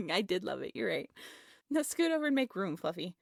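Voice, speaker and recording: Casting: actress as affectionate, teasing young woman, anxious at heart, for intimate roleplay audio drama; high, very expressive voice, dry close sound. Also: moderate pace, creaky, dry recording. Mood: playful, teasing.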